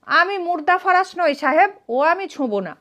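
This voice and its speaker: A woman's voice: very high voice, animated, moderate pace, fairly bright tone, pitched above the performer's own voice. A woman with a Bengali accent voicing a humble male sailor who earnestly recounts a grim voyage in a storybook reading. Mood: defiant.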